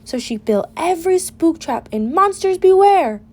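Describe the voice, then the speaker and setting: A woman reading a children's picture book aloud with an expressive, deliberate delivery. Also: very high-pitched. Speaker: storybook reader.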